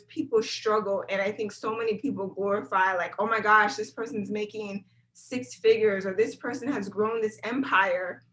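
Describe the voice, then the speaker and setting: A woman's voice: fairly high pitch, fairly bright tone, low-quality recording. A woman from South Florida, a guest on an interview podcast, talking energetically and conversationally, often repeating words as she thinks. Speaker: guest, brand videographer. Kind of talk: casual interview chat.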